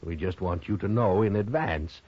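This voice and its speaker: A man playing a slick, persuasive American gambler in an Old West radio drama, talking smoothly to win people over. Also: low-pitched, normal speed. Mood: ingratiating. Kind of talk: 1950s radio western acting. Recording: muffled vintage radio recording.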